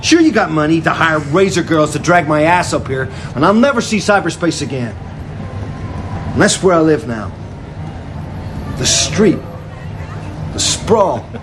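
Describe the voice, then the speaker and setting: An actor playing a suspicious, world-weary hacker hustler, curt and sardonic, in a radio drama. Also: male voice, on the high side, lively intonation, measured pace, long pauses, crowd noise, noisy background. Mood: defiant. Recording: radio drama with music bed underneath.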